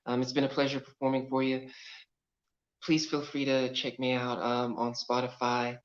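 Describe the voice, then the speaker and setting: A woman's voice: very deep voice, level tone, moderate pace. A woman musician casually thanking her audience and signing off over a muffled online stream. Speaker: singer and musician.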